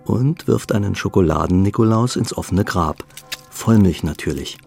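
A man narrating a bittersweet family radio play, understated and wry, with a creaky voice. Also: deep voice, moderate pace, a little mellow, faint music behind. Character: male storyteller of a bittersweet family tale.

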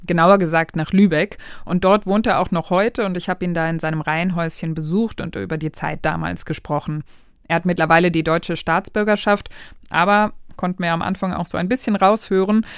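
A woman's voice: fairly low pitch, clean tone, no room sound. A woman co-hosting a history podcast, explaining in a conversational narrated way. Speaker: podcast co-host. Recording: dry studio sound with faint music.

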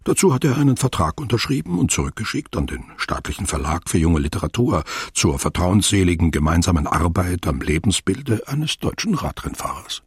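A man acting as the sober, deliberate narrator of a literary radio play, speaking formally and in measured, wordy sentences. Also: low-pitched, big pitch swings, breathy edge, dry recording, clean studio sound, full character voice.

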